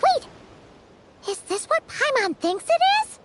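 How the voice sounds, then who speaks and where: A woman voicing a tiny, excitable, childlike fairy companion in a video game, with a squeaky voice. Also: unhurried, noisy background, exaggerated voice. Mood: startled. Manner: speaks of self in third person. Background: music.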